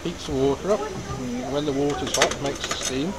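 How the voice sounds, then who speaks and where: A man's voice: sing-song, moderate pace. A British man chatting casually outdoors with children, explaining and asking questions in an encouraging way. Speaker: adult explaining things to young children. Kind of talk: casual chat. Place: outdoors at a miniature steam railway yard. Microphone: handheld camera. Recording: loud ambient noise, engine rumble.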